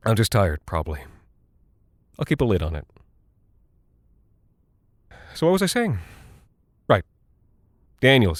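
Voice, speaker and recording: An actor playing a weary, rattled Cold War pilot in a horror audio drama, halting and apologetic as he rambles confidingly to his wife. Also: lowish voice, dry recording, clean studio sound. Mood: apologetic, hazy.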